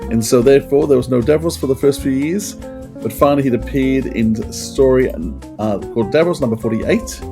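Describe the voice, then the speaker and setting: A middle-aged man hosting a podcast, running through facts and discussing them conversationally. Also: medium pitch. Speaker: podcast host. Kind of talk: conversational trivia rundown and discussion.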